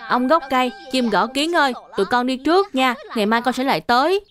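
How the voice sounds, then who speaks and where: Earnest high-voiced little cartoon boy. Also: expressive, quick pace, a bit bright. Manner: earnest, polite, childlike. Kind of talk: dubbed children's cartoon acting. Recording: music behind the voice.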